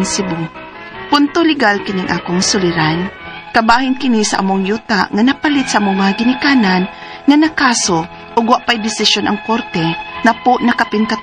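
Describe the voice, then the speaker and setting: A woman in her forties with a Cebuano accent playing a single daughter who cares for her mother in a radio drama, earnest and rising high in surprise. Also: close to an everyday voice. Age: 40s. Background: music.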